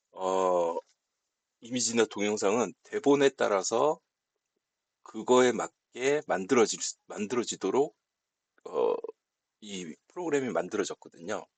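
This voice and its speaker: A man with a lowish, gravelly voice walks through a software tutorial step by step. His delivery is polite and explanatory, with lively swings in pitch. It is unscripted instruction from someone showing how things are set up. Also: no room sound.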